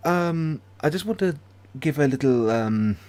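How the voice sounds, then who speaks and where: A British man hosting a casual livestream, chatting hesitantly with many pauses and restarts. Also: on the high side, lively intonation, darkish tone. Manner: hesitant, lots of ums and restarts, friendly. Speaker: ASMR channel host.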